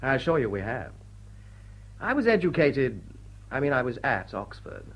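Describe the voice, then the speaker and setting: An actor playing a dashing, sardonic young lord in period drama, breezy, teasing and probing. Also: male voice, medium pitch, sing-song, normal speed, a bit bright. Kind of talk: period stage comedy radio drama.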